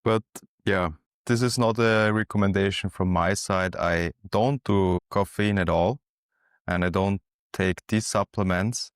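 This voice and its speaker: Male interview host, deep and smooth voice, calm and measured, dry close sound. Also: dry recording. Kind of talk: casual interview talk.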